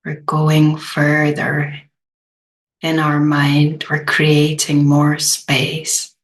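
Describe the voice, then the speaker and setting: Very slow, low-pitched woman guiding meditation. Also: completely flat delivery. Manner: gentle, unhurried, long pauses. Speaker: meditation guide. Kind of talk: guided meditation.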